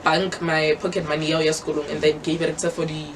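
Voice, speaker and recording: A woman's voice: deep voice, flat delivery, a little fast, recorded from a distance, background noise. A young South African woman, a native Sepedi speaker, telling her story as a guest in a casual interview and mixing English with Sepedi.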